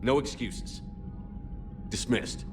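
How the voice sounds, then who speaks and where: A man's voice: slow, noisy background, close to an everyday voice. A man acting a weary but determined military commander in a video game cutscene, speaking in a terse, resolute way. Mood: frustrated, determined. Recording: game soundtrack music behind.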